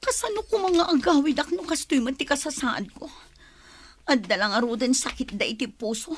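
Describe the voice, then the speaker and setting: A woman's voice: sits high, normal speed, a little hiss or hum, natural voice. A woman with an Ilocano accent acting an aggrieved, troubled young pregnant woman in a radio drama. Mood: angry, hurt.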